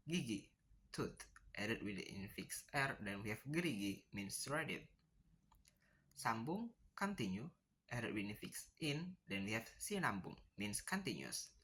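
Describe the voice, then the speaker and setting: A native Indonesian speaker presents a class lesson, reading examples in a steady, explaining way. The voice is bright and the pitch lively. Faint noise sits behind it. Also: unhurried. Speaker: student presenter.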